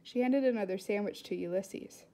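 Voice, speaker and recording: A woman reading a storybook aloud as an expressive narrator. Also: mid-range voice, moderate pace.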